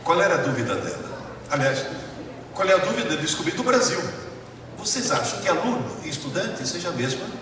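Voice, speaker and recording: A man's voice: on the high side, very animated, a little fast. A man from Italy with an Italian accent, a professor lecturing emphatically to a live audience and putting rhetorical questions to them. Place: large lecture hall. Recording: echoey hall, distant mic, noisy.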